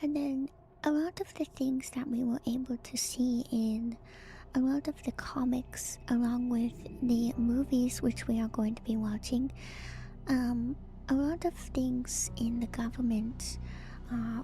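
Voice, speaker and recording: A woman streamer whispering softly and breathily in ASMR style, reading aloud and musing in casual asides close to the microphone. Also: fairly high pitch, steady tone. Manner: slow, musing, conversational asides. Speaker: streamer hosting a lore read-through. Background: music.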